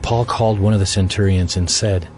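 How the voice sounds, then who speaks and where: A man's voice: low voice, steady tone, brisk, darkish tone, faint music behind. A man narrating scripture as a scripted audio Bible reading.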